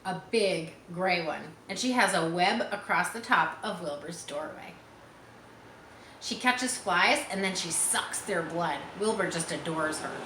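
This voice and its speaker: Woman reading as an eager, animated young farm girl. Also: fairly low pitch, unhurried, echoey room, a little hiss or hum, rough recording. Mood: thoughtful, matter-of-fact. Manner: eager, matter-of-fact.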